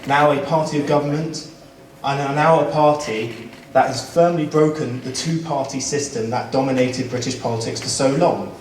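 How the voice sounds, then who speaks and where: Male candidate, measured earnest delivery, distant hall recording with faint hiss. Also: mid-range voice. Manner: earnest, measured, some fillers. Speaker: election candidate. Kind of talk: campaign speech at a debate. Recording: roomy hall, distant mic, faint noise.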